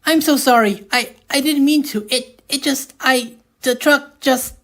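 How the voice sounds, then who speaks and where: A performer voicing an earnest, cheeky little tank engine in a cartoon comic dub, eager and quick to protest. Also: sits high, a bit bright. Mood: panicked apology. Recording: music under the voice. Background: music.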